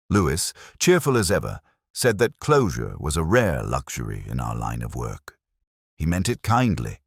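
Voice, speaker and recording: Very deep, brooding detective narrator played by a man. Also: no room sound, studio quality, exaggerated voice. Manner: measured, literary, solemn phrasing. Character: brooding, melancholy male Oxford police detective, reflective and world-weary. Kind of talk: scripted first-person narration of a brooding detective drama.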